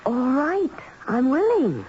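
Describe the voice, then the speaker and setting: A woman's voice: high-pitched, wildly swooping pitch, slow pace, heavily acted. A woman playing a pampered, rich-born wife in a radio drama, airy and teasing, calling her husband darling. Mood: puzzled. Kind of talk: 1970s radio drama acting. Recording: dull old radio broadcast, faint hiss.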